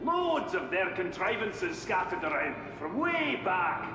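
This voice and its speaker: A man's voice: high voice, sing-song, slow, heavily acted. A man voicing a wisecracking male dwarf tinkerer in a video game cutscene, talking in a teasing, offhand way. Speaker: inventor who helps the hero. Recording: cutscene with music behind.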